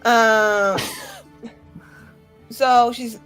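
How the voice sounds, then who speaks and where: A woman's voice: on the high side, steady tone, very slow, fairly bright tone, smooth, faint music behind, low-quality recording. A woman playing a tabletop game, bantering and improvising in an informal, sweary way. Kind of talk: casual improvised banter and roleplay.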